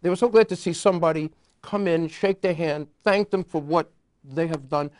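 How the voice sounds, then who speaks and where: A man's voice: on the high side, moderate pace, darkish tone, dry recording. A man who chairs a veterans advisory council, speaking earnestly and emphatically as a guest, sometimes stumbling and restarting. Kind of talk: casual interview talk.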